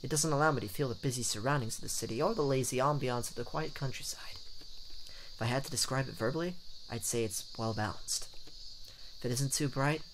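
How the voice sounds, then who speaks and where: A man's voice: medium pitch, fairly quick, background noise. A man hosting a let's play, reading a visual novel aloud in a playful, theatrical way with jokey asides. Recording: game music behind the voice. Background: game music.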